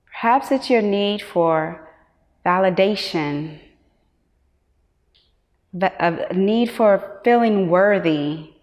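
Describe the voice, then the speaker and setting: A woman teacher guiding a meditation, giving measured instructions with long pauses. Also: mid-range voice, expressive, a little mellow. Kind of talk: guided meditation. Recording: long hall-like reverb.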